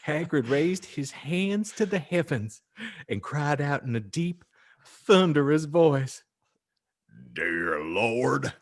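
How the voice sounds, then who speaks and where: A man's voice: a little high, expressive, slow, a little mellow. A man hosting a podcast, reading a story aloud with theatrical, mock-earnest flair and jokey asides. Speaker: podcast host and story reader. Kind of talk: comic audiobook narration with casual asides.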